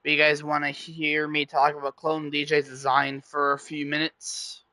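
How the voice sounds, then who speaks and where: A man's voice: a little high, monotone, normal speed, bright, forceful tone, no echo. A man streaming and chatting casually with his viewers, rambling and often correcting himself mid-sentence. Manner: rambling, self-correcting, talks straight to chat. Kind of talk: casual livestream chat.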